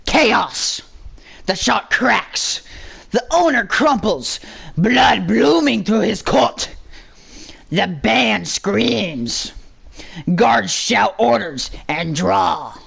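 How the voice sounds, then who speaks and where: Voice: mid-range voice, animated, extremely slow, gravelly, a bit bright, slightly rough. A narrator reading a scripted action story aloud in a dramatic style.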